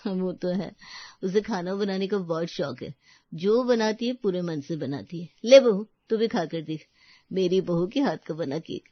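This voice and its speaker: A woman's voice: lowish voice, measured pace, dry recording, highs cut off. A woman voicing a proud, friendly, chatty older neighbour auntie in story dialogue.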